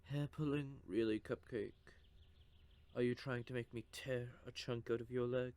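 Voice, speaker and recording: A man voicing a cocky, possessive male vampire who teases with soft whispered asides, in an audio roleplay. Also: mid-range voice, steady tone, normal speed, smooth, dry recording, clean studio sound, close to an everyday voice. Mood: teasing. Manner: teasing, smug, with soft whispered stretches.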